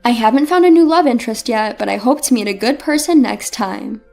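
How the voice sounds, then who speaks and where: A woman's voice: a little high, lively intonation, brisk, gravelly, a little mellow, faint music behind. A woman reading a scripted first-person story as narrator.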